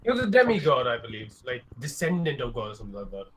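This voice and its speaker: A man's voice: mid-range voice, sing-song, fast, crowd noise. A man joining casual podcast chat, dropping wry, puzzled questions. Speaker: occasional podcast guest.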